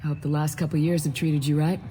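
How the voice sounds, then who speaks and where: A woman acting a composed, resolute military commander in a video game, with a measured, firm delivery. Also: low voice, flat delivery, mellow, a little hiss or hum, close to an everyday voice. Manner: measured, firm, matter-of-fact.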